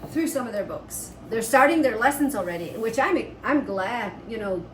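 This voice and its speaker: A middle-aged woman with a fairly high voice talks casually to viewers as a homestead vlogger. The room is noticeably echoey, and a faint noise sits behind her conversational delivery. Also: moderate pace. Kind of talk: casual chat. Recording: roomy echo with steady background noise.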